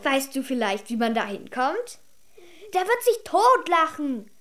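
A female performer playing a feisty, sharp-tongued seven-year-old girl in a children's radio drama, cheeky and impatient. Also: high-pitched, big pitch swings, measured pace, heavily acted. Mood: mocking.

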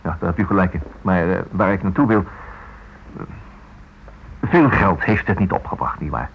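An actor playing a polite, hesitant writer in a Dutch radio play, probing in a halting way and repeating himself. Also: male voice, low voice, expressive, creaky, slight background noise. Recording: muffled, narrow-band old radio recording.

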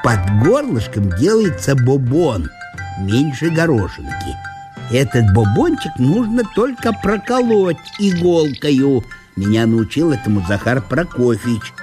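A man's voice: medium pitch, big pitch swings, a little slow. A self-absorbed provincial judge acted by a man rambles on with relish in a radio play. His intonation swings widely as he savors every digression. Faint music plays along. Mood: rambling, self-satisfied. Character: chatty, self-absorbed provincial male judge, fond of food. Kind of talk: theatrical radio monologue.

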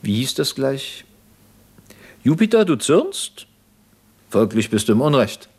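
An actor playing a zealous, self-assured revolutionary commander in a German radio play, didactic and needling. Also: male voice, medium pitch, very animated, slow, darkish tone, slightly rough, exaggerated voice. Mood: mocking.

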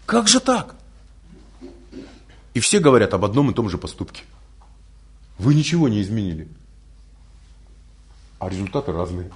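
A man who is not a native Russian speaker giving a spiritual lecture, full of rhetorical questions and lively storytelling. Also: on the low side, normal speed, long pauses. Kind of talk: recorded religious lecture.

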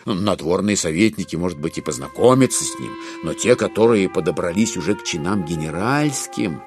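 A man narrating a classic novel as a theatrical audiobook, in a wry, expressive way with deliberate pauses. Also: deep voice, soft background music.

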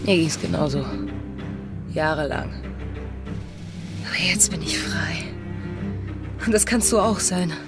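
A woman's voice: fairly low pitch, slow pace, long pauses. An actress playing a bold, commanding female pirate in a radio drama, direct and defiant. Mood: earnest, confiding. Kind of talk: German radio drama acting. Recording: music behind the voice.

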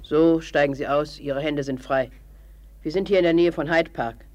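A man's voice: fairly high pitch, fairly even intonation, moderate pace, a little mellow, close to an everyday voice. An actor in a 1950s German radio play playing a curt, businesslike male underworld henchman who gives orders. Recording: noisy old radio recording, vehicle sound.

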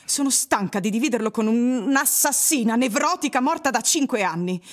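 Neglected, reproachful wife, played by a woman, high voice. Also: expressive, fairly quick, natural voice. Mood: bitter, indignant. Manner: emotive, reproachful. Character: neglected, resentful young wife. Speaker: actor in radio drama. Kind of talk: radio drama monologue and dialogue.

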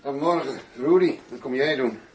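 An actor playing a commanding, brisk undercover police chief in a radio drama. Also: male voice, mid-range voice, darkish tone, echoey room, recorded from a distance, slight background noise, lightly acted, poor audio. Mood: brisk, composed. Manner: brisk, authoritative.

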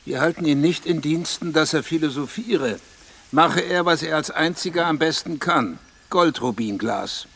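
Creaky, imperious prince-elector. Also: male voice, on the high side, normal speed, fairly bright tone, full character voice. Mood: commanding. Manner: stately, imperious, archaic royal "we". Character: imperious, demanding male prince-elector, vain patron. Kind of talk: radio drama acting.

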